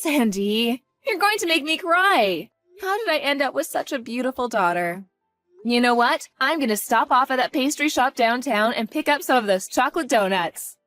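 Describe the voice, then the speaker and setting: A woman's voice: sits high, animated, moderate pace, fairly bright tone, faint music behind. A woman voicing a protective, anxious single mother who speaks firmly and pleads in a dramatized story. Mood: warm. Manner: firm, worried, pleading.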